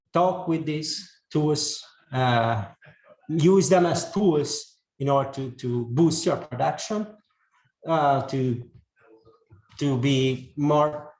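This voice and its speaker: Hungarian-born man, gravelly, halting delivery. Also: mid-range voice, darkish tone. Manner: halting, many fillers and restarts. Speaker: speaker giving a talk on AI tools. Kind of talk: presentation to an audience. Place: room with a live audience. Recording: echoey room, faint noise.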